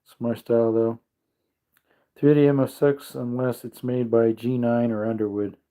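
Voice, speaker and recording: A man's voice: flat delivery, moderate pace, dark tone. Chatty man in his thirties. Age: 30s. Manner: rambling, chatty, reads out viewer comments; high baby-talk when calling his dog. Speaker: livestream host. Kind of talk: casual livestream chat. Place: home man cave.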